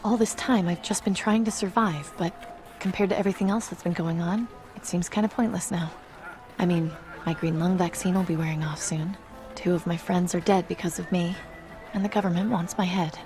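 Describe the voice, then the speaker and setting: A woman acting a troubled young survivor in a video game. Her voice is lowish and natural, earnest and a little hesitant, with faint music underneath. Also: fairly even intonation, brisk, darkish tone, natural voice. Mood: despairing. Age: young adult. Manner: earnest, hesitant, plain-spoken.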